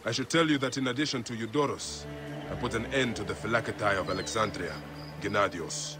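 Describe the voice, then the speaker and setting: An actor playing a resolute, vengeful warrior in a video game drama, speaking bluntly and with restraint. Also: male voice, on the low side, brisk, over music, close to an everyday voice. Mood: restrained, stoic. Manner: blunt, restrained, few words. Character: terse, resolute male warrior avenging his murdered son.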